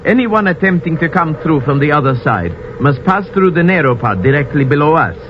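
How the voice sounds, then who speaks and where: An actor playing a grave, loyal army lieutenant with formal, earnest, theatrical old-time radio delivery. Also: male voice, fairly high pitch, lively intonation, brisk, natural voice. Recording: muffled, noisy old radio recording.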